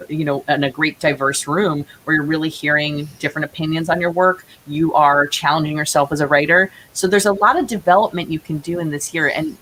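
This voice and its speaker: Female writer guest, low register, earnest and encouraging, faint hiss on the remote recording. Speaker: interview guest, playwright. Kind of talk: casual remote interview talk. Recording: hissy, noisy remote call audio.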